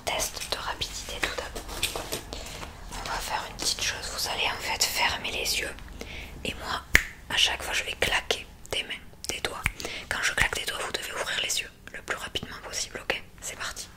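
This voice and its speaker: A woman doing an ASMR roleplay in a breathy whisper, softly guiding the listener step by step into a close microphone. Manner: soft, unhurried, step-by-step instructing. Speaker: ASMR roleplay host, examiner giving relaxation tests.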